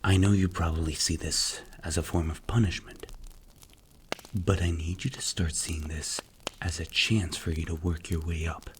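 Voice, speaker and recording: A man playing a strict, commanding mafia boss in an ASMR roleplay, speaking in a stern, deliberate, clipped way. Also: low-pitched, flat delivery, slight background noise, lightly acted. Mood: firm, commanding.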